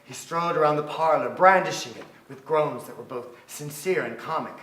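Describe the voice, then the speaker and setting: A man's voice: on the high side, moderate pace, a bit bright, close to an everyday voice. An actor playing a wistful man recalling his boyhood in theatrical literary narration. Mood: wistful, intense. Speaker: stage actor in a staged reading. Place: theatre stage. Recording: echoey theatre hall. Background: violin music.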